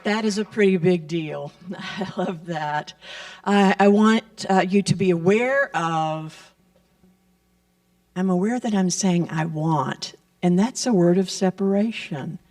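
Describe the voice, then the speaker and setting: A woman making gentle announcements and blessings during a church service. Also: lowish voice. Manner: slow, gentle, blessing-style delivery. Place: church sanctuary. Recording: voice picked up from a distance.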